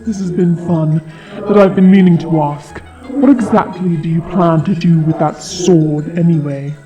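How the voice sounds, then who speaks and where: Man voicing a high-pitched, haughty, sardonic dragon. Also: fairly even intonation, a little slow, close to an everyday voice. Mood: mocking. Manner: sarcastic, taunting, theatrical delivery. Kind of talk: audio roleplay acting. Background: fantasy game-style music.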